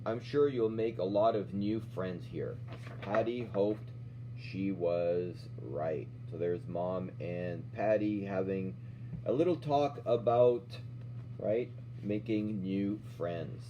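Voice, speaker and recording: A middle-aged man reading a children's storybook aloud as an instructor, pausing to explain and check in with questions. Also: deep voice. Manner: checks in with questions, often ending "right?". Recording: dull lo-fi sound with faint hum.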